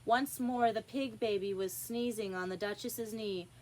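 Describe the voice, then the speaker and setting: A woman reading a children's story aloud in an expressive narrating style. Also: mid-range voice, measured pace, slight background noise, poor audio. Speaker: storybook reader.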